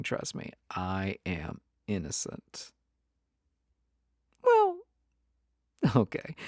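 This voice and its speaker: Performer playing a teasing yandere spouse, swooping intonation, dry close sound, coy, possessive, breathy, intimate audio roleplay. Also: mid-range voice, mellow, dry recording, heavily acted. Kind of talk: intimate roleplay audio drama, spoken to listener.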